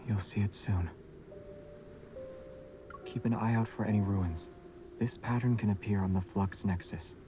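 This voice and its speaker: A man voicing a calm, mysterious young monster hunter in a video game, soft-spoken, terse and measured. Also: on the low side, background noise, lightly acted.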